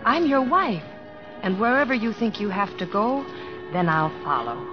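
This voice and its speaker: An actress playing a gentle, devoted young bride, tender and earnest, in an old-time radio drama. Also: female voice, medium pitch, big pitch swings, moderate pace, natural voice. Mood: reassuring, devoted. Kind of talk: 1940s-50s radio drama acting and narration. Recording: dull old-time radio recording, music behind.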